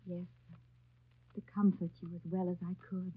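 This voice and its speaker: An actress playing an earnest, modest young governess, speaking and narrating in a demure, restrained 1930s radio drama style. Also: female voice, fairly low pitch, level tone, moderate pace, husky. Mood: tender, devoted. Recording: dull 1930s radio recording, faint music behind.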